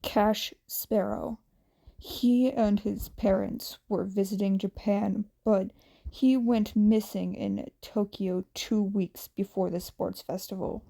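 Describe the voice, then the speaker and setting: A woman voicing a stern, grumbling adult male hero in a story, speaking in a gruff and terse way. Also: mid-range voice, fairly even intonation, takes it slowly, mellow. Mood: grim, matter-of-fact.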